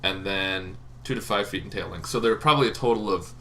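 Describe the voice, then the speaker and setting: A man with a fairly low voice talks through game lore like a channel host. His delivery is informal and unscripted, halting as he thinks aloud. There is some faint noise behind him. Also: sharp tone. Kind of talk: casual off-the-cuff explainer. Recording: noticeable background noise.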